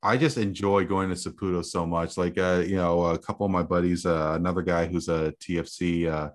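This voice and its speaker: A man's voice: deep voice, flat delivery, a little fast. A man hosting a casual sports talk show, rambling with many fillers and laughing easily. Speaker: host.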